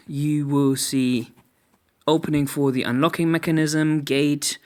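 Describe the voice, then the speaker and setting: A man narrating an unboxing product review in a measured, explanatory way, phrase by phrase. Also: mid-range voice. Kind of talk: unboxing review narration over hand-held footage.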